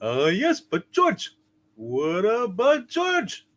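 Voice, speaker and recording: Man acting excitable angel, high voice, very swooping intonation, eager interjections, hammy radio play. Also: drawn-out words, heavily acted. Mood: eager, fretful. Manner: exclamatory, interjecting. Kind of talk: hammed-up comedic radio play reading.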